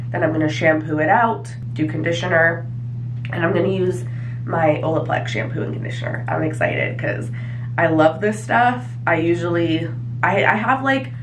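Young female vlogger, congested and nasal, casual filler-heavy chat, slightly low voice. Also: background noise. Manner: chatty, lots of fillers. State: stuffy nose from allergies. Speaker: vlogger and hairstylist. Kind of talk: casual talk to camera. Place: small apartment, kitchen and bathroom. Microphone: handheld camera at arm's length or tripod.

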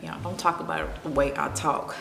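A young woman with a Memphis drawl talks through her makeup routine in an easy, confiding chat. Her voice sits low, and the room rings with a long echo while music plays behind her. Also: fairly quick, gravelly. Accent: Memphis Southern. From Memphis, Tennessee. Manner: relaxed, confiding, drifting between makeup steps and personal chat. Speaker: beauty vlogger. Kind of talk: chatty get-ready-with-me makeup talk. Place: home room with plants.

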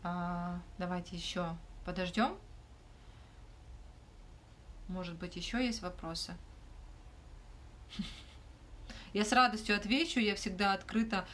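A young woman hosting a livestream Q&A in a small room, talking casually into her phone and often pausing with fillers. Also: fairly low pitch, fairly bright tone, slight background noise. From Ukraine. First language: not Russian. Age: young adult. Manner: hesitant, frequent "uh" fillers and restarts. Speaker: livestream host answering questions. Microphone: phone held close, front camera.

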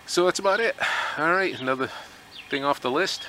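A middle-aged man casually explaining a do-it-yourself boat repair, relaxed and plainspoken with lots of fillers, recorded outdoors in a rural driveway. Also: on the high side, fairly quick, slight background noise. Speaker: DIY workshop vlogger. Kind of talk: casual how-to narration. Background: birds and outdoor ambience.

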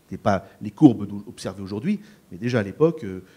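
A man's voice: on the low side, expressive, fast talker, warm tone, husky. A middle-aged man from France, a farmer speaking on a conference panel into a handheld mic, hesitant with many fillers and repeated words. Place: conference stage before an audience. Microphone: handheld microphone.

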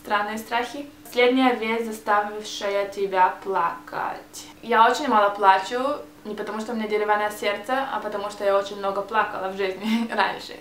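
A woman's voice: mid-range voice, fairly even intonation, normal speed, fairly bright tone, roomy sound, a little hiss or hum. Hebrew-accented young woman, hesitant vlog talk. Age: young adult. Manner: hesitant, restarts words while searching for Russian. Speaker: vlogger. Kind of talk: casual tag video answering questions. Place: small bright room, indoors. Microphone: camera mic, seated facing camera.

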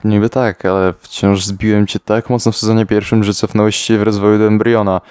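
A man voicing a weary, sarcastic teenage boy fighter in a comedy fan dub, talking deadpan. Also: lowish voice, fairly quick, clean tone, no echo, studio quality, close to an everyday voice. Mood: fed up. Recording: soft music underneath.

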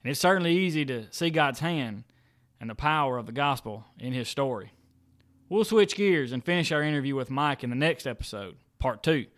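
A man's voice: medium pitch, animated, a little fast, fairly bright tone. A man, hosting a podcast and narrating a closing segment. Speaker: podcast host. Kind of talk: outro narration. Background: faint music.

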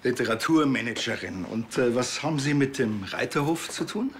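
A man's voice: medium pitch, lively intonation, moderate pace, slight background noise, lightly acted. An actor playing a blunt, impatient Bavarian policeman in a TV crime comedy, with a dry manner. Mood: questioning. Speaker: police officer. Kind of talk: TV comedy crime drama acting.

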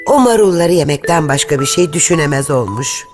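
A woman's voice: deep voice, brisk. A woman reading a fairy tale as a narrator, in a lilting storytelling manner. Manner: lilting storytelling, lively intonation. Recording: narration over clear background music.

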